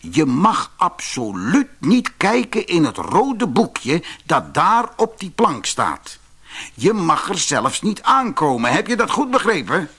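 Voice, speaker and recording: A man voicing a wise, odd bookbinder in a fairy tale, deliberate and evasive as he instructs. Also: fairly high pitch, wildly swooping pitch, a bit bright, breathy edge, voice pushed up, exaggerated voice. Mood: stern warning. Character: wise, odd red-bearded bookbinder, cagey and deliberate employer. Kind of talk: fairy-tale audiobook character voice.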